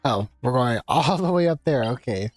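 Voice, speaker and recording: A man casually muttering to himself while playing a video game, offhand and unhurried in tone. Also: mid-range voice, lively intonation, darkish tone, soft background music. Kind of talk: casual gameplay commentary.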